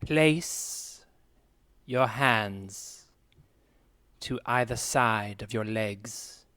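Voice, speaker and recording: Man playing very slow, solemn hypnotist. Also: on the low side, dry recording. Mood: solemn, hypnotic. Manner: hushed, portentous, long dramatic pauses. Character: solemn male hypnotist guiding a trance meditation. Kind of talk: slow guided meditation, improvised comedy.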